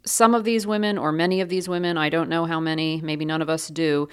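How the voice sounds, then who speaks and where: A woman hosting a radio interview show, asking measured, probing questions. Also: deep voice, fairly even intonation, clean tone. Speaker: podcast host.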